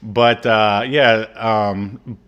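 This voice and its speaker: A man's voice: fairly low pitch, steady tone, drawn-out words, smooth, lo-fi sound. A man in his thirties co-hosting a casual podcast, talking loosely with lots of fillers and restarts. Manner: rambling, many fillers and restarts. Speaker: podcast co-host. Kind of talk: casual chat.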